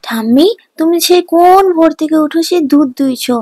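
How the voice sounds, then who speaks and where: A woman's voice: high-pitched, a little fast, darkish tone, voice pushed up. A woman voicing an affectionate, eager young village grandson in a cartoon folk tale, chatty and coaxing. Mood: concerned, eager. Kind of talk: animated children's folk tale acting.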